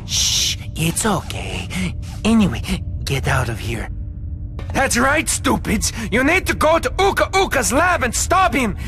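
A shrill bratty henchman. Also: very animated, measured pace, full character voice. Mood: whiny. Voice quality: shrill, squeaky. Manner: shouting, whining, petulant. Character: whiny henchman, childish, cowardly, bratty. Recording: game music behind.

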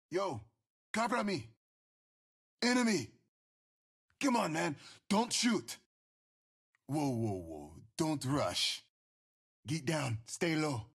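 A young, spirited survival expert from a shooter game. His husky voice swings widely in pitch. He delivers short squad callouts at an unhurried pace, casual and streetwise. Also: big pitch swings, a bit bright, exaggerated voice. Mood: urgent, then alert.

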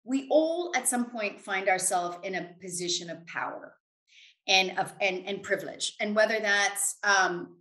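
A woman's voice: mid-range voice, a little slow, pushed and bright. A middle-aged American woman giving emphatic, self-assured, conversational answers as an interview guest on a video call. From United States. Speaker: interview guest, finance expert. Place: indoors in a home room. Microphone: video call, computer at desk distance. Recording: roomy, echoing video call.

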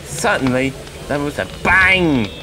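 A man narrating a children's story in an expressive, deliberate way. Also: on the high side, background noise.

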